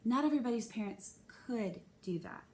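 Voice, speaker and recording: A woman's voice: fairly high pitch, lively intonation, moderate pace, a little mellow. A woman reading a children's novel aloud expressively, narrating in the first person. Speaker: storybook reader.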